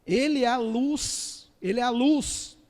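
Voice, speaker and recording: A man's voice: very high voice, takes it slowly, a little mellow. A man with a Brazilian Portuguese accent, a pastor giving an earnest testimony sermon and calling his listeners dear ones. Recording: long hall reverb.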